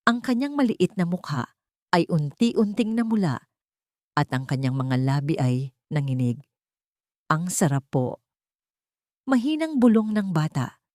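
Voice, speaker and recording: Low, dark-voiced woman narrating drama. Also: no room sound, studio quality. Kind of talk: dramatic scripted story narration.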